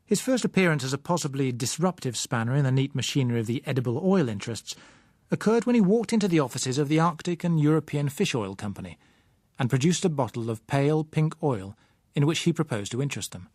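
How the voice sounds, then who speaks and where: A British man narrates a novel in a medium-pitched voice. His delivery is measured and literary, with a dry wryness. The recording is very dry and free of room sound. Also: animated, darkish tone, no echo. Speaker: audiobook narrator.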